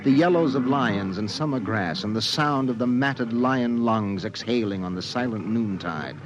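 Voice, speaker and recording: An actor narrating an ominous science fiction radio drama in an intense, deliberate manner. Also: male voice, medium pitch, dull audio, background noise, close to an everyday voice. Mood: intense, vivid. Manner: intense, deliberate, incantatory repetition. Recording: vintage radio drama over music. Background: music.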